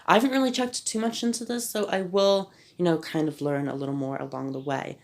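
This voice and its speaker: A woman's voice: on the low side, steady tone, fairly quick. Phlegmy-voiced woman chatting about a game. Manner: chatty, apologetic about her voice. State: sick, phlegmy throat. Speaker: gaming YouTuber. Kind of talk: casual game commentary.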